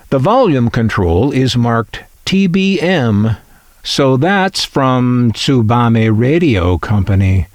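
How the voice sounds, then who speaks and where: Slow-talking man with lively, swooping intonation. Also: medium pitch, no room sound, clean studio sound. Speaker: host of a vintage electronics channel. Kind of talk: scripted narration.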